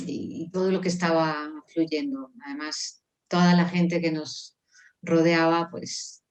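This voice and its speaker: A woman around sixty with a lowish voice speaks thoughtfully as an interview guest over a video call. She is earnest and pauses to restart her phrases. She grew up in Mexico. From Mexico (grew up in Puebla, born in Colombia). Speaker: guest, musician and poet. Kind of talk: reflective conversational interview.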